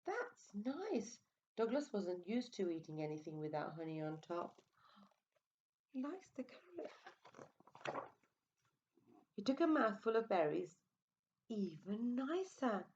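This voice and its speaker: A woman reading a picture book aloud to young children, expressive and coaxing, with little questioning asides. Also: on the low side, unhurried, pauses often, dull audio, a little hiss or hum. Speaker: storyteller for preschool children.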